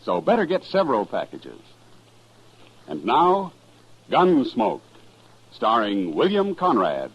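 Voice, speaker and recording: A man's voice: medium pitch, huge pitch swings, takes it slowly, pauses often, muffled recording, background noise. A man with an American accent announcing in the emphatic, salesman-like style of 1950s radio. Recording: old radio recording with faint music.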